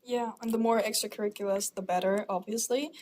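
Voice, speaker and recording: A woman's voice: medium pitch, steady tone, fairly quick, a little mellow, slight background noise. Giggly young woman chatting animatedly. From Germany. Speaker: podcast co-host. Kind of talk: casual podcast chat.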